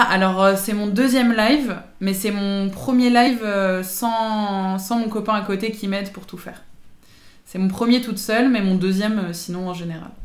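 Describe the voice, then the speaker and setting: Chatty young woman streaming in a roomy space. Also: mid-range voice. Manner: informal slangy speech, teasing and chatty. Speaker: live streamer chatting with viewers. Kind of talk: casual livestream chat.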